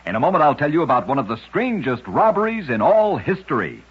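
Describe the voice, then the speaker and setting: Husky male old-time radio storyteller. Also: on the high side, sing-song, fast talker. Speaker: radio narrator of curiosities. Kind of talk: old-time radio scripted narration of strange true stories. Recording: dull, lo-fi old radio recording.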